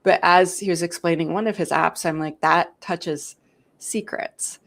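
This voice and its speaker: A woman in her forties with a lowish, gravelly voice. She explains things in a talkative, animated way and tells stories as she goes. It is a casual live-stream chat. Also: unhurried. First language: English. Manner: talkative, animated storytelling with laughs. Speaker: host of a security live stream.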